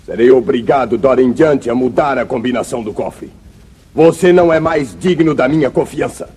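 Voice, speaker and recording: A man's voice: medium pitch, a little fast, dark tone, a little hiss or hum, natural voice. An actor playing a stern, reproachful father in a Brazilian radio drama of the 1950s. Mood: grave, disappointed. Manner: grave, reproachful, commanding. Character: stern, wronged father of a grown son, a rancher.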